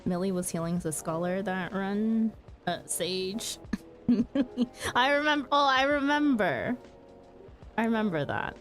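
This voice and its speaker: A woman's voice: mid-range voice, lively intonation, slow, soft background music. A woman streamer in casual chat while gaming, laid-back, laughing often and swearing freely.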